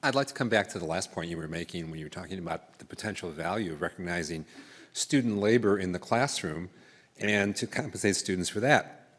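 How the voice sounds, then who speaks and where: A man's voice: low-pitched, a little fast, a bit bright. A man in the audience at a university lecture, asking an articulate, carefully structured question.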